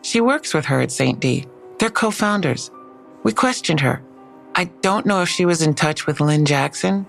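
An actress playing a skeptical police detective in an audio drama, dry and matter-of-fact, with a low, gravelly voice. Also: female voice, expressive, moderate pace, faint music behind. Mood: wary.

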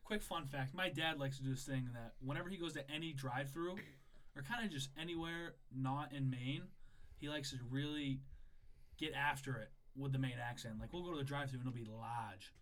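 A man's voice: mid-range voice, fairly quick, a bit bright. A young man hosting a casual podcast, brash and joking, swearing freely. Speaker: podcast host.

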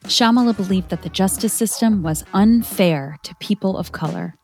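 A woman's voice: medium pitch, normal speed, a little mellow, faint music behind. A woman narrating a scripted biography as a children's story, explaining things in simple words.

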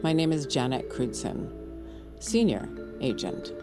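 A stern female senior agent in an audio drama. Her voice sits low for a woman and she speaks in clipped, formal commands. Clear music plays behind her cold, controlling delivery. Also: sing-song, a little slow, full character voice. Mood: measured authority. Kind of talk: scripted audio drama acting.

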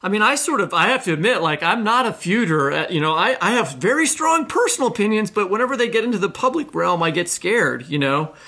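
A man with a fairly high, very bright voice co-hosts a literary podcast. He talks informally, like someone telling an animated story to friends. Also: fast talker, hardly any pauses. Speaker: podcast co-host. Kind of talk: casual literary podcast conversation.

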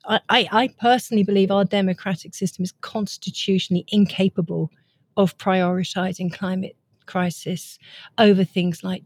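A woman's voice: medium pitch, no room sound. A woman, speaking as an author being interviewed, explaining ideas in an earnest, articulate way. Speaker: author and guest.

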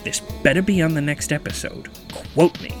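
Actor as animated, indignant man, sarcastic menace. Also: a little high, brisk, natural voice. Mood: defensive, insistent. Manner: sarcastic, profane, confrontational. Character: indignant, menacing man defending his odd appetite, mocking and defensive. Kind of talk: audio drama acting. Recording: music playing underneath.

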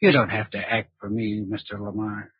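Very raspy, sly American blackmailer. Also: male voice, fairly low pitch, steady tone, normal speed, no room sound. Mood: pressing, menacing. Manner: insinuating, sardonic. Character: sly, cool, menacing male blackmailer. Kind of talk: 1940s radio drama acting. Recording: muffled old radio recording.

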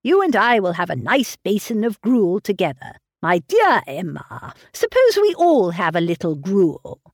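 A woman reading aloud voices a fussy, anxious elderly gentleman fretting over his grown daughter. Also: mid-range voice, sing-song, measured pace, no room sound, clean studio sound. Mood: fretful. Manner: fretful, coddling. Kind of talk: audiobook character reading.

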